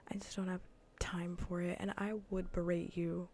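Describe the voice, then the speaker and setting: Low, soft half-whispering woman streamer. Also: level tone, normal speed, a little hiss or hum. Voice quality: soft, breathy near-whisper. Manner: blunt, sardonic, profane rambling. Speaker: livestreamer hosting an ASMR gaming stream. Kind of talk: casual ASMR livestream chat, soft and half-whispered.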